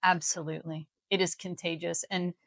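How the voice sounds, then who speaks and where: A woman's voice: fairly low pitch, level tone, normal speed, fairly bright tone, no echo. An American woman explaining things in a live interview as a guest, in an emphatic, persuasive manner. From Missouri, United States. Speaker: healthcare company CEO, interview guest.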